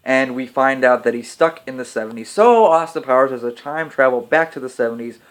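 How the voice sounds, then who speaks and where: A man giving a casual video film review, chatty and hesitant with frequent pauses. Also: mid-range voice, lively intonation. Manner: hesitant, lots of ums and restarts, chatty. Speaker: movie reviewer.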